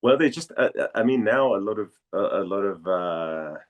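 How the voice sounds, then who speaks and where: A man talks casually as an interview guest. He speaks in a halting way, circling back over phrases as he searches for words. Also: on the low side, animated, lo-fi sound. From Denmark. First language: Danish.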